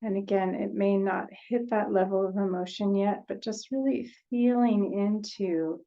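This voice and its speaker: A woman leading a guided meditation in a gentle, unhurried way, leaving long pauses between short phrases. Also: mid-range voice, steady tone, creaky, highs cut off.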